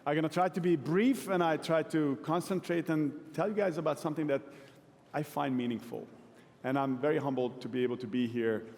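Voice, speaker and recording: A man from Hungary in his forties, giving a relaxed, self-deprecating conference talk to a live audience. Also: a little high, brisk. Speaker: keynote speaker. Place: large event hall. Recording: long hall reverb.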